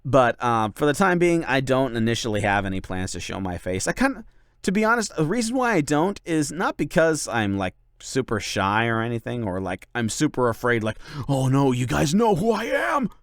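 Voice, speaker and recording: A young man answers viewer questions in loose, rambling chat, his pitch bouncing around in a lively way. His speech is full of fillers and restarts. The recording is close and dry. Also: fairly quick, smooth, dry recording. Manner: rambling, filler-heavy, many likes and ums. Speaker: channel host and voice actor. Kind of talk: casual Q&A chat.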